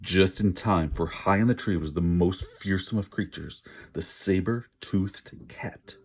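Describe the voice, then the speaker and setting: A man's voice: sits low, a little slow, darkish tone, slightly rough. A man reading a children's story aloud with dramatic, emphatic word stress and an informal manner. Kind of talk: expressive read-aloud narration with casual asides.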